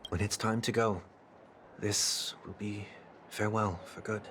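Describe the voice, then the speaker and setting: A man's voice: fairly low pitch, fairly even intonation, unhurried, a little hiss or hum. An actor playing a courteous, solemn and principled young man, speaking formally and eloquently in a video game. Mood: calm resolve. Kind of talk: scripted video game voice acting. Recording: loud music behind the voice.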